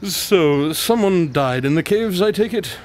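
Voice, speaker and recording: A man playing an eccentric, self-assured detective with a playful deadpan manner in a comic radio drama. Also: high-pitched, measured pace. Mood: wry. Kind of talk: comedic radio drama acting.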